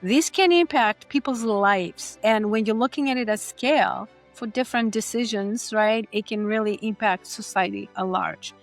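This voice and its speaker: A woman's voice: medium pitch, moderate pace, few pauses, soft background music. A woman from Greece, a podcast guest, explaining her views in an earnest, conversational way with occasional restarts. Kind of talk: conversational interview.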